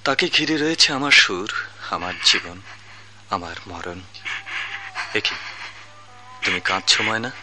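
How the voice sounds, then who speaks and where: An actor playing a lovelorn young singer in a palace tale, declaiming poetically with dramatic pauses in a Bangladeshi accent, in old-fashioned radio drama style. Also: male voice, low-pitched, expressive, pushed and bright, soft background music, heavily acted. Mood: tender, sorrowful.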